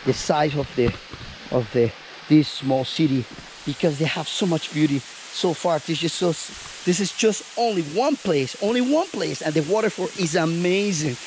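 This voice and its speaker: A high-voiced man with as his talks excitedly outdoors beside a waterfall, chatty as he flies a drone. Loud hiss and rushing water sit behind his voice. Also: poor audio. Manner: excited, chatty, mixes English, Portuguese and Spanish. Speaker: vlogger and drone pilot. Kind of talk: casual outdoor vlog talk.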